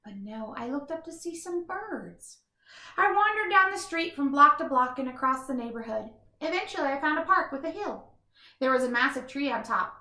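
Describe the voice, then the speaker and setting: Woman storybook reader, high bright voice, animated, dramatic pauses, echoey room. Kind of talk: expressive children's read-aloud.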